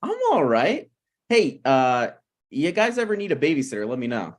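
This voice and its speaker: A man's voice: medium pitch, very animated, moderate pace. A man playing an awkward, meddling friend in a sitcom table read, hesitant and apologetic yet blunt. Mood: awkward, prying. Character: awkward, opinionated, meddling male friend of a married couple. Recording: online video call table read.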